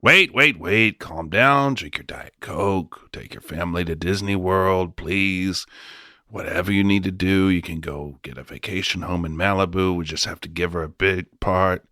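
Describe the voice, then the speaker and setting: A man's voice: low-pitched, normal speed, bright, forceful tone, no echo. A man playing a flustered studio boss in a comic radio drama, stammering and placating as he wheels and deals. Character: smooth-talking, flustered male studio boss wheeling and dealing.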